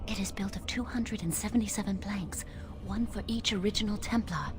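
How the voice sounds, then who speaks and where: A woman playing an earnest, anxious young chantry woman in a fantasy video game, with a measured, solemn delivery. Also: mid-range voice, music in the background, natural voice. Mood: solemn, secretive.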